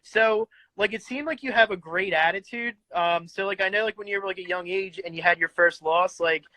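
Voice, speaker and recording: A man hosting a casual boxing interview, his voice pitched high with a bright, forward edge. He talks chattily, laughs easily and fills pauses with "um" and "like". The sound is close and dry. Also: no room sound, low-quality recording. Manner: chatty, quick to laugh, lots of fillers. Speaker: interview host, boxing fan.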